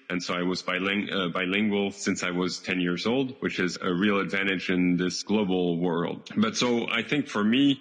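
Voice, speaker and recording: A young man with a French accent, giving measured, analytical reflections and pausing often to find words. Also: on the low side, a bit bright, long reverb tail. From France. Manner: measured and analytical, with frequent uh fillers. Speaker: interviewee. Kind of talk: recorded personal reflection.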